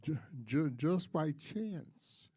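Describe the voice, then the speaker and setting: A man's voice: fairly high pitch, drawn-out words, husky. A man hosting a folk concert, making casual, joking announcements to a live audience in a coffee house. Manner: joking, chatty, relaxed. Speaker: concert host.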